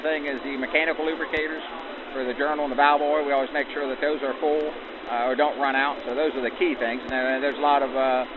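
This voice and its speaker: A man's voice: medium pitch, phone-quality sound. A man, a crew chief, explaining his work in a plain, practical way in an interview. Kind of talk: unscripted interview answers. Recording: band-limited, noisy outdoor vintage-style sound.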